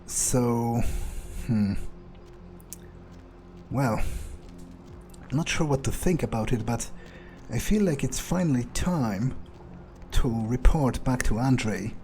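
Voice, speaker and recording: A man voicing an arrogant, brooding vampire in a game playthrough, musing aloud in a halting, profane way. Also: medium pitch, lively intonation, a little mellow, soft background music. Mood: baffled. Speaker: gaming YouTuber. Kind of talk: in-character game playthrough monologue, thinking aloud.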